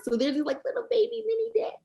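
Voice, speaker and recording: A woman guest in a casual interview, talking with lively energy, many fillers and quick restarts. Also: very high voice, echoey room. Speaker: guest, card deck creator.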